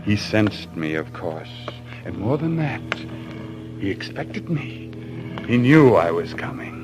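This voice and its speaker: A tormented hunter on the edge of madness in an old radio thriller. His low voice is theatrical, sarcastic and brooding, with menace in it. Music plays behind the old recording. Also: big pitch swings, takes it slowly. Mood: brooding, trancelike. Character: tormented, menacing husband, a big-game hunter losing his sanity. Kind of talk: old-time radio thriller acting and first-person narration.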